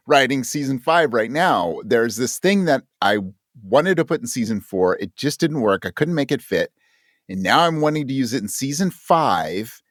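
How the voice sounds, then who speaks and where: A man being interviewed about his writing, talking in a thoughtful, halting way and voicing his own thoughts as quotes. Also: medium pitch, no echo, studio quality. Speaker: interview guest, audio drama writer.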